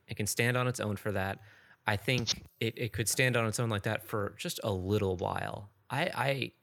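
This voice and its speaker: A man co-hosting a game review podcast, animated and blunt with his opinions. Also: fairly low pitch, creaky. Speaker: podcast co-host. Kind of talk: casual game review chat.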